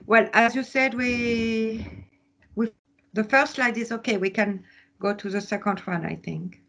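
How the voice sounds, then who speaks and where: A woman with a French accent teaches students through a video call. She speaks at an unhurried pace in a slightly raised voice, pausing on fillers and laughing often. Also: fairly high pitch, fairly even intonation, a bit bright. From France. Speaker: workshop teacher. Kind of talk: online workshop teaching.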